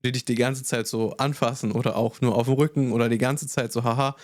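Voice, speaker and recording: A man with a mid-range voice tells personal anecdotes in an animated, casual way, dropping English words into his sentences. He sounds close to the mic in a dry, dead space. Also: medium pitch, fast talker, dry recording. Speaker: podcast co-host. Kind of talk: casual podcast chat.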